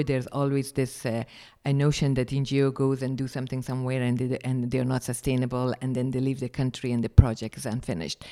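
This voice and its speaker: A man's voice: mid-range voice, mellow. A man, answering interview questions hesitantly and restarting often. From Afghanistan. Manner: hesitant, searching for words, many restarts. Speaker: charity founder, guest.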